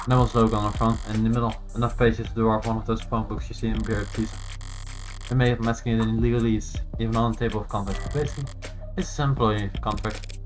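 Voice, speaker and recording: A man streaming a game, reading its visual novel narration aloud. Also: fairly low pitch.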